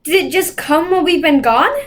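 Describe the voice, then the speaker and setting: A woman's voice: very high voice, a little fast. A woman playing an anxious, impatient young girl in a read-aloud children's story.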